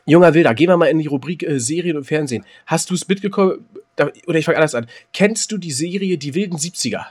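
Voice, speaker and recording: A man's voice: on the high side, fast talker, husky. A man co-hosting a podcast in casual, colloquial, joking chat. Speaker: podcast co-host.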